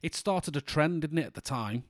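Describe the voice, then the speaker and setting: Man, monotone, rambling, podcast co-host, dry close mic. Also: on the high side, moderate pace, no room sound. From England. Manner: rambling, many restarts and fillers. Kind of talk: casual chat.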